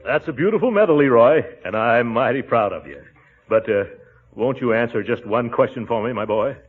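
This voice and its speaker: An actor playing a pompous, flustered middle-aged uncle in a 1940s radio sitcom, blustering and hesitant. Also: male voice, mid-range voice, animated, moderate pace, over music, close to an everyday voice. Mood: fond. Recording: tinny, band-limited 1940s radio recording.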